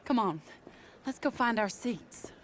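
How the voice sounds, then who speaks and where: Game period lady: American, genteel and earnest, unhurried delivery, sharp tongue, faint ambience. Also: on the high side, expressive, a little hiss or hum. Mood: teasing. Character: genteel, sharp-tongued woman, wistful former sweetheart. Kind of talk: video game cutscene acting.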